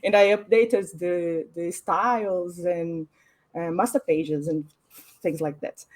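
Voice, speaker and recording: A woman, a graphic designer explaining her work on a panel, thoughtful and pausing to find her words. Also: on the low side, fairly even intonation, darkish tone. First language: Portuguese. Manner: thoughtful, pauses to search for words. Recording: online panel stream.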